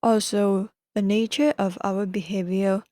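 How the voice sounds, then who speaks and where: A young woman with a Thai accent hosts a podcast, her voice warm and mellow. She talks slowly and deliberately, fixing her own words as she goes. The recording is close and dry. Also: medium pitch, steady tone, no room sound. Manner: careful, deliberate non-native English with self-corrections. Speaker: podcast host. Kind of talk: solo book-summary podcast.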